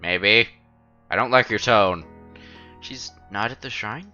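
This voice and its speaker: A man's voice: on the low side, slow pace, lo-fi sound. A man streaming a visual novel, reading it aloud dramatically, acting out the lines and adding casual asides. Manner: animated, acts out protagonist's lines. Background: soft game music.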